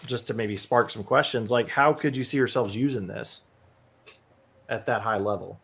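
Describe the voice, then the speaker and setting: A man's voice: medium pitch, normal speed, vocal fry, a bit bright, slightly rough. A man co-presenting on an online call, informally and somewhat hesitantly putting a question to the group. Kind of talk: casual discussion.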